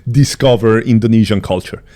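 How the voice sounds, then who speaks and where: A man hosting a casual podcast interview, asking questions in a halting, chatty way. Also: fairly low pitch, dark tone, slight background noise. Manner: halting and chatty, with frequent restarts and drawn-out phrasing. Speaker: podcast host.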